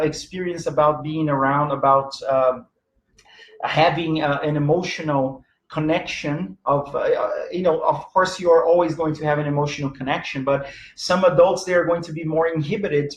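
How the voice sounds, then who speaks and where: A man lecturing in English, his second language after Portuguese, with a fairly high voice. He talks to a room of teachers in an animated way, searching for words as he goes, restarting and filling pauses. The room is echoey. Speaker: teacher giving a talk.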